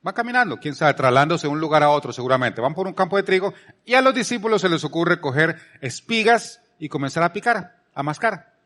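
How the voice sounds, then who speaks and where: A Colombian man preaches in a conversational style, his voice set a little higher than most men's. He drives points home by repeating phrases and poses rhetorical questions as he teaches. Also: expressive, brisk, few pauses, sharp tone. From Palmira, Colombia. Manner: emphatic repetition, rhetorical questions. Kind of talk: conversational sermon.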